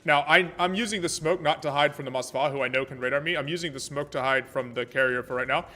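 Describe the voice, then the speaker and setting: An American man streaming a naval battle game, thinking aloud about tactics and chatting with viewers in a relaxed way. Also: a little high, quick pace. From American, born in Germany. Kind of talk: live gameplay commentary and chat. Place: Vienna home setup.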